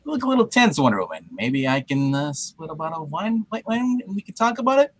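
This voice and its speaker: High, animated wisecracking man. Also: fast. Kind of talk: casual comic-book read-aloud.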